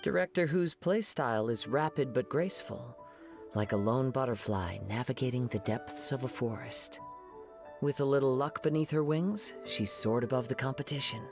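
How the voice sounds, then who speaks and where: A man voicing a gentle, poetic young wandering samurai in an anime game, calm, soft-spoken and courteous. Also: medium pitch, moderate pace, lightly acted. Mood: serene. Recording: game music behind.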